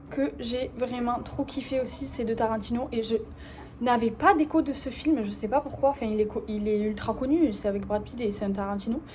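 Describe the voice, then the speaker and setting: High-voiced, slangy young Frenchwoman. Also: slight background noise. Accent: French from France. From France. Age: young adult. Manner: informal, slangy, expressive. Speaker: vlogger. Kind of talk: casual confiding chat to viewers.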